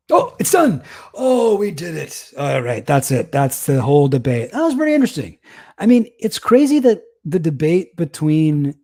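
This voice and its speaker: A man's voice: fairly high pitch, sing-song, measured pace, mellow. A young man casually commenting on a livestream, rambling, self-deprecating and laughing often. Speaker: streamer reacting to a debate.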